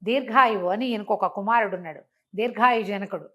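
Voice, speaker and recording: Animated woman storyteller. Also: mid-range voice, a little fast. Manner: conversational, acts out dialogue, asks rhetorical questions. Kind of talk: animated oral telling of a moral folk tale.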